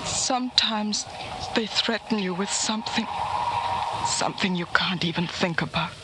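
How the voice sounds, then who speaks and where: An actress playing a broken, disillusioned young woman in 1940s radio drama, halting and breathy, near a whisper. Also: mid-range voice, close to an everyday voice. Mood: bitter, drained. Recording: noisy old 1940s radio recording.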